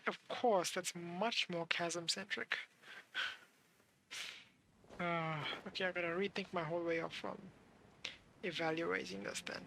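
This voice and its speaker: A game streamer giving casual live commentary, thinking aloud in halting fragments over game music. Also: medium pitch, steady tone, creaky, a bit bright, slight background noise, rough recording.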